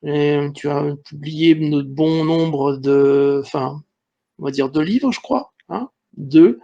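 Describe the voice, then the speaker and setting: A man with a somewhat high, gravelly voice interviews a guest, filling his questions with pauses and fillers. The sound is dull and lo-fi. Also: mellow. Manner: halting, filler-heavy questions. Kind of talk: casual interview talk.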